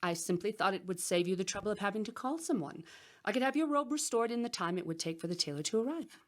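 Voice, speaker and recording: An actress playing a clever, composed young bride of a sultan in a radio drama, speaking formally and deferentially. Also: female voice, medium pitch, lively intonation, quick pace, natural voice. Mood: coaxing.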